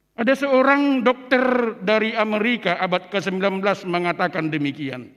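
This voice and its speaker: A man's voice: high voice, fairly bright tone. A man preaching in church, moving between two languages and rising to emphatic peaks. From Indonesia. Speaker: pastor. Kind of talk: sermon. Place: large church. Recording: long church hall reverb.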